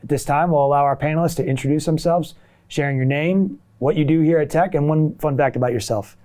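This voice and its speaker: A man's voice: on the high side, fairly quick, warm tone. A man moderating a panel discussion in a conversational style. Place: TV studio.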